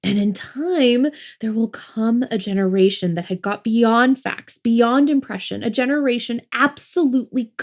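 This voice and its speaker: A woman's voice: a little high, normal speed, few pauses, natural voice. A woman playing a dogmatic, dismissive mother in an audiobook drama, with a lofty, precise, declamatory manner. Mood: lofty, preaching. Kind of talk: dramatic audiobook dialogue and oratory.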